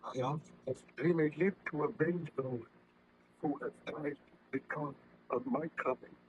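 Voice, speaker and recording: A man's voice: a little high, a little slow, slight background noise, natural voice. An actor playing an anguished, guilt-ridden nobleman scientist in an old-time radio horror drama, speaking in formal, fervent melodrama. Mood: grieving, penitent. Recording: vintage radio drama recording, slightly muffled.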